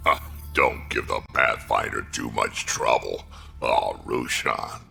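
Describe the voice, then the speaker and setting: Rumbling, gravelly old alien grandfather. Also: slow pace, bright, forceful tone, soft background music, exaggerated voice. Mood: wry, gruff. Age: older. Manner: blunt, wry. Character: gruff old alien grandfather, scrappy colony veteran. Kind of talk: video game dialogue acting.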